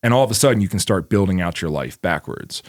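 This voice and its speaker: A man's voice: low-pitched, animated, quick pace, dry recording, studio quality. A man around forty co-hosting a podcast, talking casually in a rambling way with lots of "like" and freely dropped swear words. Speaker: podcast co-host. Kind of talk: casual chat.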